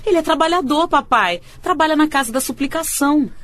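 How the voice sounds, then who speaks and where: An actress playing a polite but headstrong young Brazilian bride-to-be in a radio soap. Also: female voice, high voice, brisk, fairly bright tone. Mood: pleading, firm. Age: young adult. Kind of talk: radio soap opera acting.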